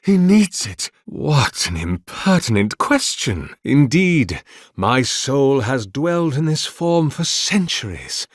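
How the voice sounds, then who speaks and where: An actor playing an ancient, weary, devout priest in a video game, speaking formally and eloquently with solemn dignity. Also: male voice, medium pitch, sing-song, slow, no echo, studio quality. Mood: anguished, doubting. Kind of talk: dramatic video game dialogue.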